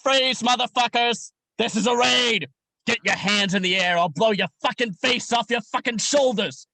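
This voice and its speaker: A young man acting in a comic radio play as a gruff, jaded old police sergeant, crude and rambling in his slangy talk. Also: very high voice, normal speed, bright, forceful tone, no echo, full character voice. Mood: furious shouting. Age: young adult. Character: gruff, jaded veteran male police sergeant near retirement.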